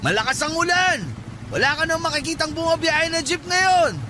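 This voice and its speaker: An actor playing a deceitful, menacing Filipino tricycle driver in a radio drama. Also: male voice, extremely high pitch, moderate pace, background noise. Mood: coaxing. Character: menacing male tricycle driver, deceitful knife-wielding abductor.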